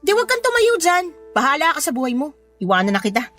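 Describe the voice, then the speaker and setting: A high-pitched, scornful woman who has had enough of her ex. Her exasperated scolding swings widely in pitch, with faint music underneath. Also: very animated, fast, a bit bright. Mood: exasperated, angry. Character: fed-up, scornful woman rejecting her cheating ex-partner. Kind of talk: Filipino radio drama acting.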